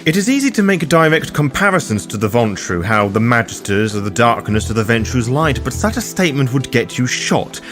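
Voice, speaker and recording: A man hosting a tabletop roleplaying lore guide, reading scripted explanatory narration. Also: medium pitch. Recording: voice over loud music bed. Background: music.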